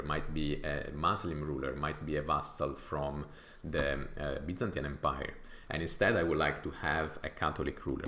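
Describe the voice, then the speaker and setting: A very low, gravelly male voice with a clear Italian accent, talking a game through step by step. He explains his choices patiently, pausing on fillers as he thinks. The sound is roomy with a faint hiss behind it. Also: fairly bright tone. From Sicily, Italy. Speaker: gaming YouTuber. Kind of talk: casual gameplay commentary. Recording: echoey room with faint noise.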